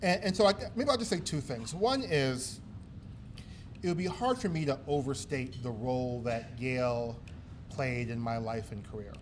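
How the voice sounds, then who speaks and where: A man's voice: medium pitch, moderate pace, background noise. Chatty New man at a podium. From East New York, Brooklyn. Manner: self-deprecating, chatty, full of ums and uhs. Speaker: award honoree giving a speech. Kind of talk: informal acceptance speech. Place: gala banquet hall.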